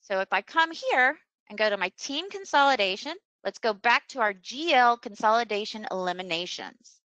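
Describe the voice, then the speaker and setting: A woman presenting a webinar as a software trainer, explaining a screen demonstration step by step in an unscripted way. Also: on the high side, lively intonation, normal speed, no room sound, low-quality recording. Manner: instructional, step-by-step.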